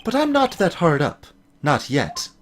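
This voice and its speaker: A man's voice: a little high, huge pitch swings, unhurried, a little hiss or hum. A man voicing a proud, bitter male attorney for a video game, haughty, clipped and sardonic.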